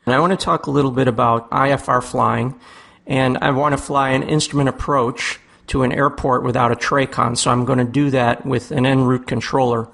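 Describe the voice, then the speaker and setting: A man's voice: medium pitch, steady tone, brisk, vocal fry, fairly bright tone. A man hosting a podcast interview, talking conversationally and putting questions to his guest. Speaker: podcast host.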